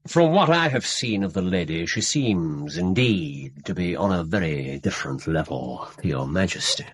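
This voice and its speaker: A cool detective in a radio play, formal and dry, lowish husky voice. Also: male voice, expressive, moderate pace. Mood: icy, disdainful. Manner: clipped, coolly courteous, dry. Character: cool, formal, subtly disdainful male Victorian detective. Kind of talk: radio drama acting.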